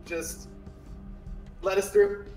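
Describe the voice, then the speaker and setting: A man chatting casually as a player in a tabletop roleplay game, a little halting. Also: a little mellow. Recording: roomy echo, faint music behind.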